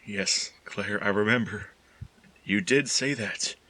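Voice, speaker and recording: A man's voice: on the low side, slow, sharp tone, exaggerated voice, poor audio. A man playing a tormented, obsessive chemist who broods and repeats his words in a 1940s-style radio horror drama. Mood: bitter recollection.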